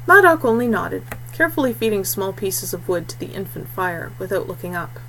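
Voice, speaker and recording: A woman's voice: mid-range voice, big pitch swings, brisk, a bit bright, slight background noise, low-quality recording. A woman reading scripted fiction as an audiobook narrator.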